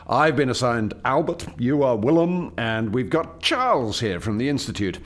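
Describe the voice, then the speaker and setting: Radio play lead: male actor as proud alien project scientist, formal and articulate, very lively pitch movement, mid-range voice. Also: normal speed, close to an everyday voice. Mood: businesslike. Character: male alien chief scientist, eager, proud, explaining his experiment. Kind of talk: radio play dialogue, expository.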